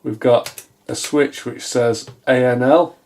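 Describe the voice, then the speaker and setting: Casting: middle-aged British male, soft mellow tone, medium pitch, dry self-deprecating hobbyist thinking aloud at the workbench. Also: slow pace. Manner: musing aloud, self-deprecating, dryly humorous. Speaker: electronics repair hobbyist, presenter. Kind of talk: casual, unscripted hands-on repair commentary. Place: small indoor home electronics workshop, at a bench.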